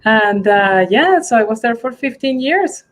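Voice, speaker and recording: A woman from Venezuela, answering interview questions over a video call, halting with many fillers. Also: fairly high pitch, animated. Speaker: guest, global mobility manager.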